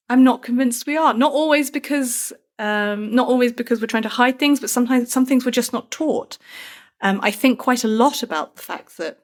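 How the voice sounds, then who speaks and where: A woman with a British accent speaks as a guest author in an interview. She is conversational and thoughtful, with a self-deprecating way of talking. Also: mid-range voice. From Britain. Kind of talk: conversational interview about a book.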